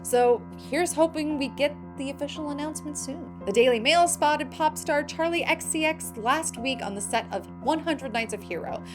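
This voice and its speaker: A woman's voice: sits high, normal speed, few pauses, over music. A woman hosting a scripted film news show, with playful, emphatic delivery and slangy asides. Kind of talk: scripted entertainment news narration.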